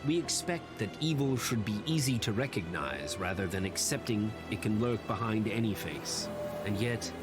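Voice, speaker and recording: A man playing a grave storyteller, narrating a dark fairy tale in a solemn, measured way. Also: fairly low pitch, lively intonation, lightly acted. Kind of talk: scripted narration. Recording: loud music behind the narration.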